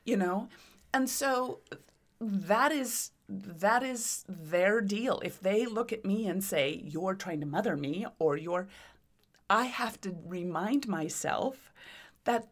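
A woman's voice: mid-range voice, expressive, takes it slowly. A middle-aged woman in casual conversation, with a big voice, speaking earnestly and emphatically about herself and her adult children. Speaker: conversation partner. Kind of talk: casual chat.

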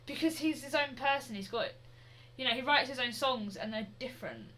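A woman's voice: high-pitched, a little fast, sharp tone, low-quality recording. A young woman co-hosting a music podcast, chatting casually with giggles and hesitant restarts. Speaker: podcast co-host. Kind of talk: casual chat.

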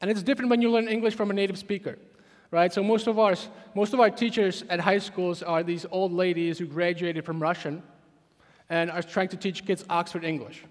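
A man from the Czech Republic with a Czech accent, preaching a sermon as a pastor, animated and emphatic with frequent restarts. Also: high-pitched, fairly quick, long reverb tail.

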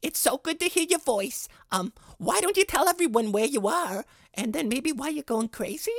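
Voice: high-pitched, expressive, normal speed, no room sound, clean studio sound. An actor playing a fussy, eager, corny middle-aged dad in a scripted comedy podcast, speaking in an earnest, theatrical way.

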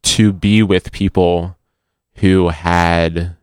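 A young man, a cantor, answering interview questions in a halting way with restarts and a slight stutter. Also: low-pitched, level tone, clean tone, no echo, clean studio sound.

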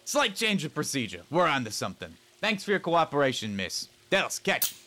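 A man playing a righteous chimp police detective in a comic noir audio drama, with dramatic, forceful delivery. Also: on the high side, very animated, normal speed. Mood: brisk. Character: hard-boiled animal police detective, a chimp, righteous and theatrical.

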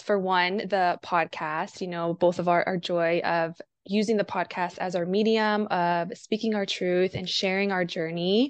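A woman's voice: lowish voice, no room sound. A woman hosting her own podcast, asking long, wandering questions with enthusiasm and spiritual wording. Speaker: podcast host.

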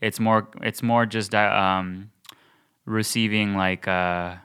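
A man's voice: fairly low pitch, fairly even intonation, slow, fairly bright tone, clean tone. A young man co-hosting a podcast, talking earnestly and thinking aloud with many restarts. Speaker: podcast co-host. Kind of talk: casual podcast chat.

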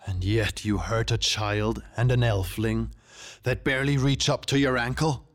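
A man voicing a huge, fierce tiger king in a storybook, speaking with imperious indignation and booming questions. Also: a little slow, gravelly. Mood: indignant fury.

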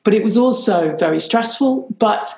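A woman's voice: mid-range voice, normal speed, gravelly, echoey room. A middle-aged woman with a British accent, a business strategist guest chatting animatedly in an interview over a video call. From Ireland, raised in Pakistan and Nigeria. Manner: chatty, animated, frequent "rather lovely". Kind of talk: conversational interview.

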